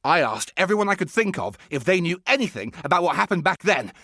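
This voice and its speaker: A man's voice: sits high, sing-song, fast, bright, forceful tone, dry recording, studio quality, full character voice. An actor playing an eccentric, showy male writer and researcher who talks in a theatrical, grandstanding way. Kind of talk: video game voice acting. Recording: game cutscene with background music.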